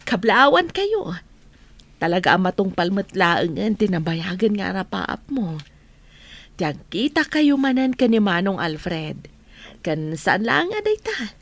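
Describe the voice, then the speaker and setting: A woman playing a loyal, warm and respectful friend in an Ilocano radio drama. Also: mid-range voice, big pitch swings, measured pace, dry recording. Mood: supportive.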